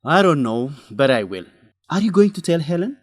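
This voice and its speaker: A man's voice: a little high, very animated, normal speed, dry recording. A man acting as a determined, indignant young student reporter in a radio drama, blunt and emphatic. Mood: indignant, frank. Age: young adult. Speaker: actor in an educational radio drama.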